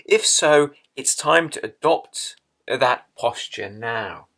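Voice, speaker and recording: A man guides a meditation in a medium-pitched voice. His delivery is slow and measured, broken into short phrases with long pauses between them. He gives instructions step by step, as a teacher of the practice. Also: animated, sharp tone.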